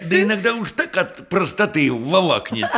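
An actor playing a jovial, boastful older hussar officer, a grey-moustached widower, in a verse comedy radio play with hearty, teasing declamation. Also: male voice, sits high, very animated, moderate pace, fairly bright tone, full character voice. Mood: bluff, rueful.

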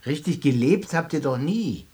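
Husky, animated, chummy sales boss. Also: male voice, fairly high pitch, moderate pace. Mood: chummy, tipsy. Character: chummy, boastful West German sales boss, talkative and tipsy. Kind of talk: radio play dialogue.

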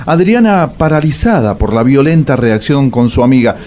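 A man's voice: medium pitch, big pitch swings, moderate pace, a little mellow, a little hiss or hum, lightly acted. An actor playing a wry male storyteller with an Argentine accent, mock-solemn in a comic radio drama. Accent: Argentine Rioplatense. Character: wry male storyteller and awkward bar date. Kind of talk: dramatic scripted narration and radio comedy.

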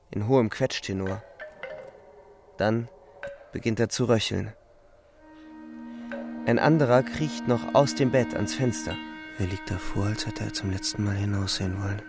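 An actor playing a wounded young soldier who narrates a radio drama in a terse, urgent, defiant way. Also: male voice, lowish voice, steady tone, unhurried, pauses often, music in the background. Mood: tense, grim. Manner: terse, urgent present-tense telling.

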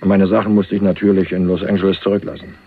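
Through narrow, phone-like sound comes a young heir on the run in a radio crime drama. His voice is fairly low, dry and streetwise, and he confides in plain colloquial speech with wry understatement. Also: little pitch movement, fast talker. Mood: wry storytelling. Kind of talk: 1980s German crime radio play.

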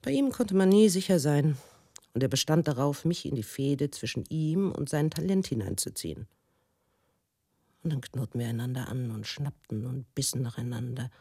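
A woman playing an embittered, wounded, educated wife in a radio drama monologue, husky and brooding, in deliberate, halting phrases. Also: a bass voice, expressive, warm tone, dry recording, studio quality, exaggerated voice. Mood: bitter, regretful. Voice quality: husky, gravelly.

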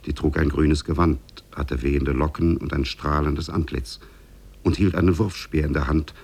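A man narrating a tale in a measured, formal manner for a 1950s German radio drama. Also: very deep voice, fairly even intonation, creaky, a little mellow, husky.

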